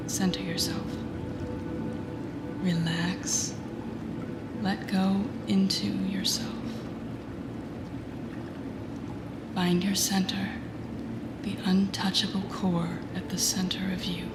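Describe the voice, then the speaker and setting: A woman playing a confident, teasing telepathic villain in an intimate audio roleplay, speaking softly and often near a whisper in a soothing, guiding way. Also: medium pitch, level tone, takes it slowly, long pauses, natural voice. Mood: calm, guiding. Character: teasing, confident female telepathic villain coaching a rookie hero. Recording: echoey indoor pool sound, music behind. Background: music.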